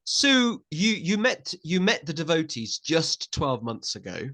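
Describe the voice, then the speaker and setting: A man's voice: a little high, sing-song, a little slow, sharp tone. Chatty male podcast host. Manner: chatty, repeats words, many filler uhs. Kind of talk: hosting an interview podcast.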